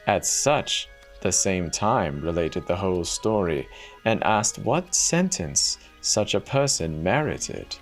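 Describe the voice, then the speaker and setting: A man with a low voice tells a fairy tale at an unhurried, slow pace. He reads it dramatically as a storyteller, with soft music underneath. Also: very animated.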